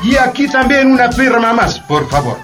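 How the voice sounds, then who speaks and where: A man's voice: very high voice, big pitch swings, normal speed, distant mic, over music. An actor playing a polite but insistent foreign machinery salesman in a satirical radio drama. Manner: polite, persistent sales patter.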